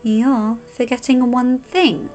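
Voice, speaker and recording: A stubborn young noblewoman with a sharp, defiant tongue, her voice pitched up and pushed forward, as a woman reading aloud puts her on. She argues fast and won't back down. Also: fairly even intonation, faint music behind. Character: feisty young highborn woman, stubborn and rebellious.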